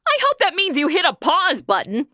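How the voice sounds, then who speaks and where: Woman as a bright, snarky young angel. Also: very high-pitched, wildly swooping pitch, a little fast, no echo, clean studio sound, exaggerated voice. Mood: exasperated, snarky. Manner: sarcastic, blunt, interrupting. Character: snarky, irreverent female trainee angel. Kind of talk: comedy audio drama acting.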